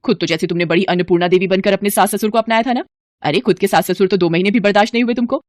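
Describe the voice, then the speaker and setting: A woman voicing a defiant, sharp-tongued sister-in-law, sarcastic and scolding, in an animated storybook tale. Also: mid-range voice, very fast, no echo, clean studio sound, natural voice. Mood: indignant.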